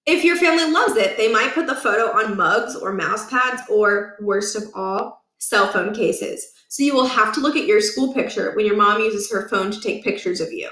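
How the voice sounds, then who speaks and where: A woman reading a children's book aloud as expressive narration, with measured, emphatic phrasing. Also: mid-range voice, roomy sound.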